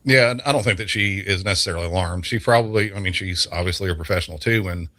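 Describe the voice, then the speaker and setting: A man's voice: sits low, fast, pushed and bright, no echo. A middle-aged man speaking conversationally as an experienced emergency dispatcher giving his expert view in an interview. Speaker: 911 dispatcher as expert guest.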